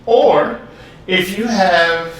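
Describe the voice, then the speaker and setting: A man hosting a podcast in casual chat, talking in a laid-back, playful, drawn-out way from a home studio room. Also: high-pitched, a bit bright. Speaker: podcast host. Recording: echoey room from far off with faint hiss.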